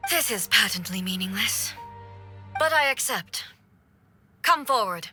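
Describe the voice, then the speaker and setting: A woman playing a cold, commanding female ruler in a video game, speaking formally and with measured authority. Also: mid-range voice, animated, bright, forceful tone, soft background music. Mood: stern. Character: imperious, cold almighty female ruler, stern and unflinching. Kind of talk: anime-style video game voice acting.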